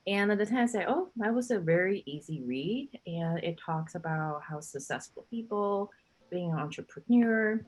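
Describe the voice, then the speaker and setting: Low-voiced chatty woman from Taiwan. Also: lively intonation, moderate pace. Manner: laughs often, chatty. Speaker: guest, real estate agent. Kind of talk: casual interview chat.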